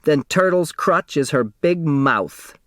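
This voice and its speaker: A man's voice: on the high side, lively intonation, slow, fairly bright tone, dry recording, clean studio sound, pitched above the performer's own voice. A man voicing a fussy, dramatic, haughty and scornful woman in an audiobook. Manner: haughty, indignant.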